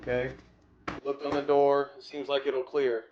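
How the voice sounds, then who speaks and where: A man's voice: medium pitch, little pitch movement, normal speed, highs cut off, crowd noise. A man giving a casual hands-on tutorial, rambling a little as he thinks aloud while working. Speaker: DIY car audio vlogger.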